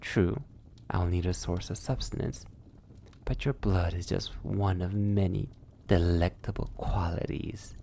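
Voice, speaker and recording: A seductive vampire lord, played by a man, speaks very slowly in a dark, mellow murmur with a creaky edge. He is mockingly courteous and cruel, drawing out each taunt close to a whisper, as intimate ASMR roleplay. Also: sits low, a little hiss or hum. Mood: seductive, persuasive. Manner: breathy near-whispers, drawn-out taunting, archly polite.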